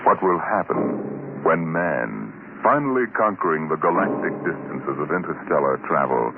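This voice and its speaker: A man announcing and narrating in a dramatic 1950s radio style, solemn and theatrical, with deliberate phrasing. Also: extremely low pitch, animated. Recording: muffled, very noisy old radio recording.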